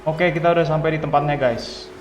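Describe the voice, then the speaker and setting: A man's voice: a little high, fairly even intonation, fast. A man with a colloquial Jakarta Indonesian accent, giving casual let's-play commentary to viewers as a game streamer. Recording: roomy sound, faint game music.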